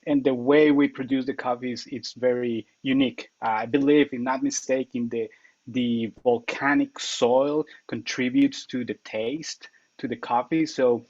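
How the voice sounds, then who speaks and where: A man's voice: mid-range voice, fairly even intonation, measured pace, a little mellow, dry recording, dull audio. A man from Colombia, a company consultant, answering interview questions earnestly, with many fillers and restarts. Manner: earnest, explains step by step with frequent uh fillers and restarts. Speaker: account consultant guest.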